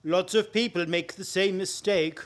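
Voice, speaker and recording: A man playing a brazen, breezy fake detective in a radio play farce, cocky and smoothly polite. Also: sits high, fairly even intonation, moderate pace, natural voice.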